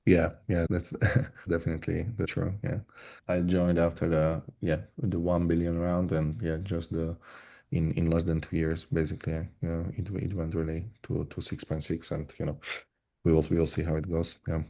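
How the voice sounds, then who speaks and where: A man from Slovakia speaks in a low, warm, relaxed voice. He talks haltingly, restarting phrases often. He sounds like he is on a video call. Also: vocal fry. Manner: halting, many restarts and fillers. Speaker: voice agent platform lead. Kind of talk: casual panel discussion.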